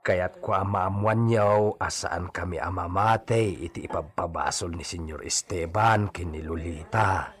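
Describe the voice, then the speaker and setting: An actor playing a humble, worried servant with a husky voice, deferential and pleading, in an audio drama. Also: male voice, sits low, fairly even intonation, measured pace, hardly any pauses. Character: humble, worried male servant speaking to mistress. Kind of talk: Filipino audio drama acting.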